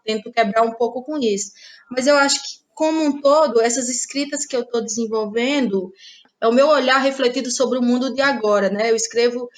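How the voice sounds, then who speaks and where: A woman's voice: on the high side, brisk. A woman of twenty-six with a Cearense accent from the Cariri, reflecting in a conversational interview in a searching, hesitant way. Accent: Cariri Cearense, northeastern Brazilian. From Cariri, Ceará, Brazil. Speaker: guest playwright and actress.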